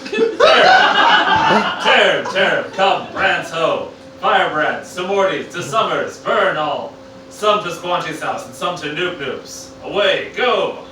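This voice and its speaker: Bloodthirsty mob rouser, played by a man: slow, theatrical cries, radio drama, far from mic in echoey room. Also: sits high, wildly swooping pitch, exaggerated voice. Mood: menacing. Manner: exclamatory, theatrical. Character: bloodthirsty rioter rousing a vengeful mob. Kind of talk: amateur Shakespearean radio play. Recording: very echoey, distant, noisy live room.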